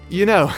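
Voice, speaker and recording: A man playing a shy, nerdy young wizard in a fantasy audio roleplay, stammering, bashful and apologetic. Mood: flustered, apologetic. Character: shy nerdy young male wizard, flustered, eagerly polite.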